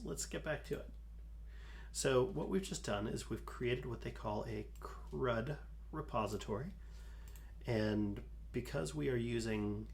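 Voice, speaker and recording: A man hosting a live coding stream, talking calmly through his code with a soothing voice and many fillers. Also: fairly low pitch, vocal fry, a little hiss or hum. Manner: slow, calm, talks through code with fillers. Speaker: livestream host.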